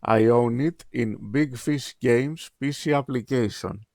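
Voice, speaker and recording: A man whose first language is Greek, reading his written game review aloud in a slow, deliberate, emphatic way with many pauses. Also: mid-range voice, creaky, darkish tone, no echo, studio quality.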